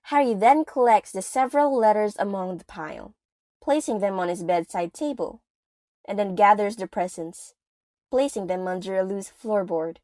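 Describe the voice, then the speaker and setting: A woman's voice: mid-range voice, normal speed, darkish tone, no room sound. A woman reading scripted narration for an audio drama as its storyteller. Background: music.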